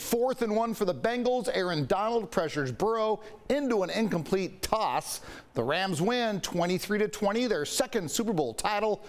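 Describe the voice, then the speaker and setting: High-pitched American male sports anchor. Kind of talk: broadcast sports highlights narration.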